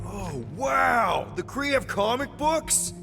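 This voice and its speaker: An actor playing an easygoing, boyish space-adventurer team leader in a video game, talking in a casual, expressive way. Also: male voice, very high-pitched, slow pace, gravelly, music in the background, exaggerated voice. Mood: excited.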